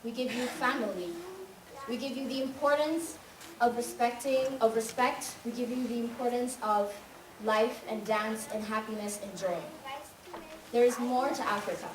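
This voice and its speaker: A woman's voice: on the high side, steady tone, normal speed. A young woman from Tanzania giving an emphatic, rhythmic spoken-word monologue on a school stage. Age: young adult. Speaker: student speaker. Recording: echoey hall recorded from far off, noisy.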